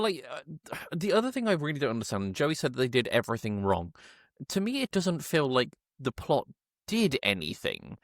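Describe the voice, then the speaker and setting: A man's voice: medium pitch, no room sound, studio quality. A man co-hosting a casual film review chat, sardonic, exasperated and sweary. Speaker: podcast co-host.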